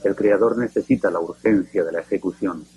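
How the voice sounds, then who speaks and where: Man, documentary narrator, low voice, deliberate, grave, scripted. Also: mellow, slight background noise.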